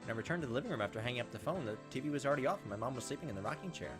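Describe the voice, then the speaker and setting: A man's voice: mid-range voice, lively intonation, racing, over music. A man hosting a gaming video, reading a visual novel aloud with casual, sometimes profane commentary. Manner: casual, profane asides, reacts to the text as he reads. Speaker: gaming video host, let's player.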